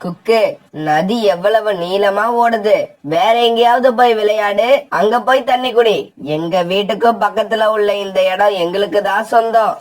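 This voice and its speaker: A woman's voice: mid-range voice, steady tone, normal speed, natural voice, low-quality recording. A woman playing a bossy, spiteful little boy bird in a children's cartoon story, with a childish, petulant manner.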